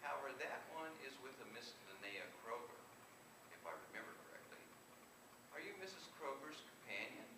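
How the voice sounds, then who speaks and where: A man's voice: mid-range voice, sing-song, normal speed, voice pushed up. A man reading the part of an offhand, dismissive young female receptionist in a humorous story. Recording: echoey distant live room recording, noisy.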